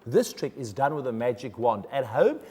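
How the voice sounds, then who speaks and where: A man's voice: medium pitch, very animated, mellow, breathy edge, in a large hall. A man whose first language is German, hosting a TV magic show with joking, chatty patter in a studio. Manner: chatty, jokey, with quick laughs. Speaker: TV magician host. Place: TV studio with audience.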